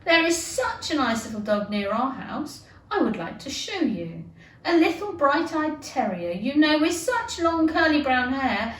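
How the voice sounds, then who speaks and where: A woman's voice: high voice, pitched above the performer's own voice. A woman playing a polite, eager young girl from a storybook, with an earnest, soothing and chatty manner. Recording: roomy, distant, noisy amateur recording.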